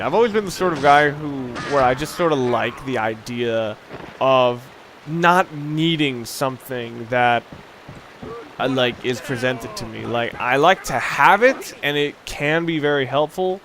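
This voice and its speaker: A man with a medium-pitched voice talks through a video game as he plays. His commentary is casual and unscripted, wandering into dry, musing asides. Clear background noise sits under his voice. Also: lively intonation, moderate pace, fairly bright tone. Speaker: let's play host.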